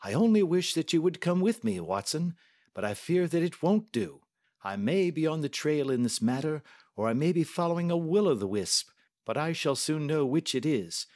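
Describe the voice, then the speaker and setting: A man doing a cool, crisp detective voice, raised high. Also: sing-song, moderate pace, a little mellow. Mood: brisk. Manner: crisp, assured, formal. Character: cool, precise male detective, middle-aged.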